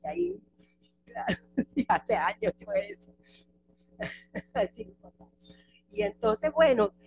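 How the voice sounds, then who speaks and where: Gravelly-voiced woman moderating casually. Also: high-pitched, big pitch swings, extremely slow, noisy background. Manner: chatty, informal, with fillers and restarts. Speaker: session moderator. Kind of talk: spontaneous introduction.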